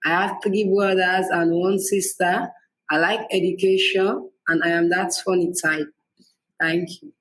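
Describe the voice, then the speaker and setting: A teenage girl from Sierra Leone with a fairly low voice. She gives a steady, plain introduction over a video call. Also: measured pace, low-quality recording. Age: teens. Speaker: student panelist.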